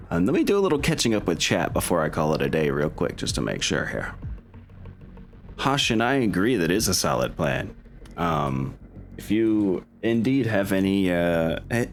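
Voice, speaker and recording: Male game master, low voice, casual stream chat, upbeat, music in the background.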